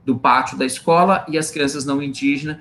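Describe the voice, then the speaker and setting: A man's voice: on the high side, fairly even intonation, brisk, fairly bright tone, slight background noise. A man speaking as an invited guest on a live online panel, explaining at length with frequent restarts and hesitations. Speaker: guest researcher.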